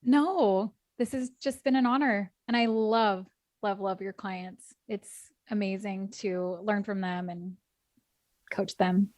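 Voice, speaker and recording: A woman's voice: mid-range voice, steady tone, slow pace, no room sound. Enthusiastic woman guest, dry sound. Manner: warm agreeing, enthusiastic. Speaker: program coach, podcast guest. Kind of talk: casual conversation.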